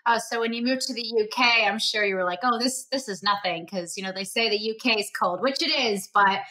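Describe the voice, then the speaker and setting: Bright, crisp-edged woman podcast host. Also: fairly high pitch, a little fast, poor audio. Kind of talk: podcast introduction and conversational interviewing.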